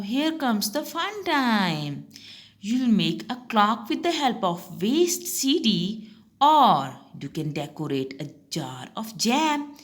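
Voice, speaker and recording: Slow, sing-song woman teacher. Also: on the low side. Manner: slow, sing-song and enthusiastic, spelling words out letter by letter. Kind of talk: explaining a summer task guideline to young pupils.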